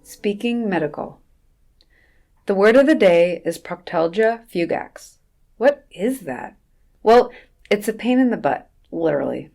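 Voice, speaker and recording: A woman doctor reading a scripted medical word-of-the-day segment with wry, deadpan jokes. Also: fairly low pitch. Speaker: resident physician presenting a segment.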